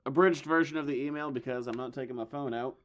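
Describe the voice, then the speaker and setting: A man hosting a casual comics podcast, hoarse from losing his voice, with dry wisecracks. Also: medium pitch, quick pace, muffled recording. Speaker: podcast host. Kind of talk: casual chat.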